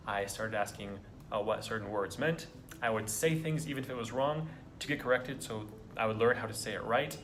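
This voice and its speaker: Earnest young man vlogging, faint music. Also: medium pitch. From United States. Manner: earnest, encouraging, frequent ums and restarts. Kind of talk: casual talk to camera.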